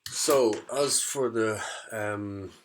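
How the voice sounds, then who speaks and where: A man giving an informal spoken demonstration of audio effects in a hesitant, halting way. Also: fairly low pitch, a little hiss or hum.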